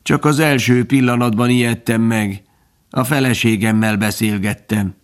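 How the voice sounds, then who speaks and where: A man voicing a small, serious male grasshopper in a storybook, with a grating, raspy voice and a brusque, formal manner. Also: medium pitch, steady tone, natural voice. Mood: stiff, reassuring.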